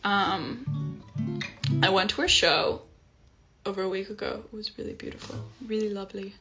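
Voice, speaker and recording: A young woman from Iceland, a singer-songwriter chatting casually on a livestream from home, relaxed with frequent ums. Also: medium pitch, moderate pace. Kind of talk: casual livestream chat between songs. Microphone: phone livestream. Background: guitar playing.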